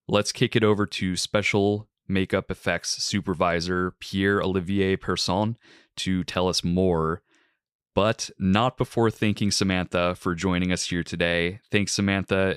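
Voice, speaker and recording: A male podcast host with a very low, smooth voice, speaking in measured, articulate sentences with the odd "like." The recording is dry and close, with no room sound. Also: fairly even intonation, no room sound. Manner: articulate, measured, uses "like" fillers. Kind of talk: film review and interview hosting.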